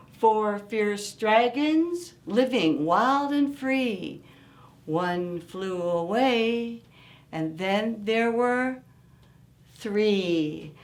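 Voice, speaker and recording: Slow-paced woman reading a children's story. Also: medium pitch. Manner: expressive, asks listeners simple questions. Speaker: children's story-time reader. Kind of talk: read-aloud storytime for young children.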